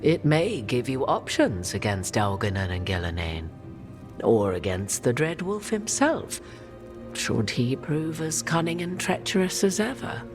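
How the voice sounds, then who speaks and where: A proud, cryptic sorceress who speaks in old-fashioned, measured phrases. Her voice rises and falls widely as she lets out her secrets one at a time. She sounds aloof and sure of herself. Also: rumbling low, big pitch swings, music in the background, exaggerated voice. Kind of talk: video game cutscene acting.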